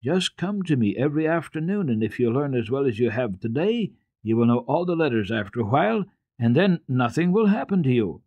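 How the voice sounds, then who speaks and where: A man's voice: mid-range voice, big pitch swings, dry recording, muffled recording, pitched above the performer's own voice. A man reading as an earnest, coaxing little girl. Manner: coaxing, measured sing-song reading. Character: earnest, kindly little girl teaching her friend.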